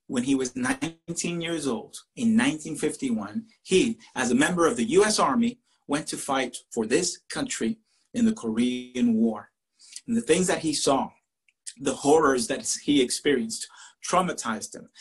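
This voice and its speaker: A Spanish-speaking man from Puerto Rico with a Puerto Rican accent, telling a personal story to an audience with earnest, dramatic pauses. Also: fairly high pitch, a bit bright, breathy edge, rough recording. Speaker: professor and poet.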